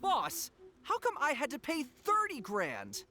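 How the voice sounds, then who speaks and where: A man's voice: extremely high pitch, huge pitch swings, a little slow, sharp tone, full character voice. An actor playing an eager, earnest young male gang underling who greets festival visitors in a video game. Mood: puzzled. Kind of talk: video game character acting.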